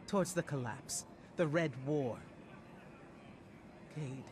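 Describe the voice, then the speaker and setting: An actor playing a stern, bitter, defiant military commander in a video game cutscene, intense and emphatic, in clipped phrases. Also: male voice, fairly high pitch, expressive, takes it slowly, background noise. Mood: bitter anger.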